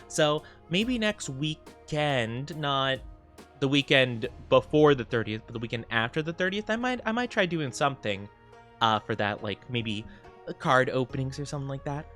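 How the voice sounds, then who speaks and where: Young male streamer, sniffly and congested, soft rambling chat with lots of ums, mid-pitched voice, faint music behind. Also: animated, moderate pace. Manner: soft-spoken, rambling, many fillers. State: sniffly, stuffed up. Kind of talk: casual chat with live stream viewers.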